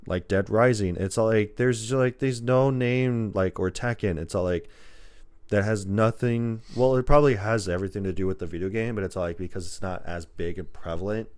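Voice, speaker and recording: A man's voice: fairly low pitch, a little fast, darkish tone, smooth. A man hosting a podcast in casual, informal chat, rambling as he goes. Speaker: podcast host.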